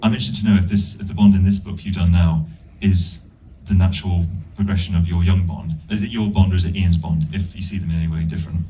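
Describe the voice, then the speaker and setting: A man with a very dark, muffled tone puts questions to a guest at a live event in a conversational style. The recording is dull and he sounds set back from the microphone. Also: low-pitched, fairly even intonation, fast talker, hardly any pauses. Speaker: interviewer. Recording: dull, distant mic, faint noise.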